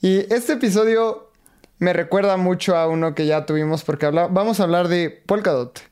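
A man's voice: sits high, moderate pace, darkish tone, clean tone. A man hosting a podcast, introducing the guest in an upbeat, welcoming way. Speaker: podcast host.

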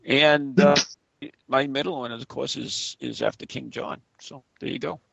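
A man's voice: medium pitch, steady tone, a little slow, vocal fry, fairly bright tone, no echo, dull audio. A man hosting a radio show, chatting playfully and rambling with fillers. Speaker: host. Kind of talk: jokey radio show chat.

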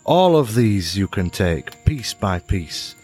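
A man voicing a proud, wise, tiny winged insect who instructs in a grand, slow storybook manner. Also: on the low side, big pitch swings, a little mellow, faint music behind. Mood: solemn, instructive.

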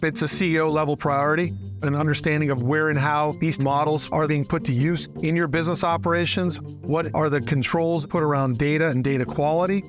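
A man delivers a smooth, prepared-sounding corporate answer in a sponsor segment. His voice is a touch higher than most men's. A clear music bed runs underneath him. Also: fairly even intonation, fairly quick. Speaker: sponsor executive.

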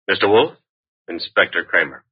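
An actor playing a tough, wisecracking detective's assistant in an old radio drama, breezy and sardonic. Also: male voice, deep voice, expressive, moderate pace, slightly rough, full character voice. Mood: businesslike. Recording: noisy, muffled vintage radio recording.

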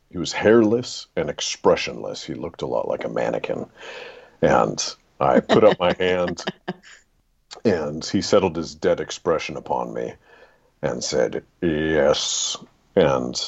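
A man telling anecdotes as a guest author in an interview, with a dramatic, deliberate storytelling manner. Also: rumbling low, vocal fry, darkish tone, husky, muffled recording. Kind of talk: podcast interview, personal anecdotes.

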